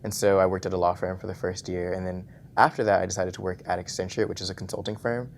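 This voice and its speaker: A young man from Houston, a junior business student, describing his internships briskly and fluently. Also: deep voice, little pitch movement, gravelly, slight background noise. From Houston, Texas. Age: young adult.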